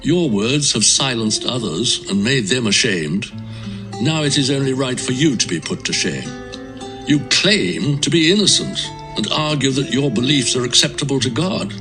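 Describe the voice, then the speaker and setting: Male actor, stern moralising accuser, medium pitch, highly varied intonation, oratorical scripture drama, plucked-string music behind. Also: lively intonation, moderate pace, lightly acted. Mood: stern rebuke. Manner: emphatic, admonishing, oratorical. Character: stern, self-assured male accuser lecturing a sufferer. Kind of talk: dramatised Bible audio acting.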